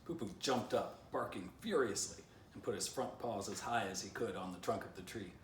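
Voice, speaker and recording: Low-voiced man reading a storybook, roomy echo. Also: moderate pace. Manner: animated, showing illustrations between passages. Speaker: children's author reading their book aloud. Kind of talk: expressive storybook read-aloud with casual asides. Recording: roomy echo, distant mic, faint noise.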